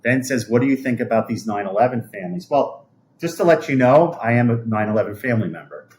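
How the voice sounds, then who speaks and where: A man's voice: medium pitch, animated, quick pace, a little mellow. A man, chatting casually on a livestream in a rambling, conversational way that often trails off. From New York City, USA. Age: 50s. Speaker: livestream host. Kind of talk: casual livestream chat, answering viewer comments.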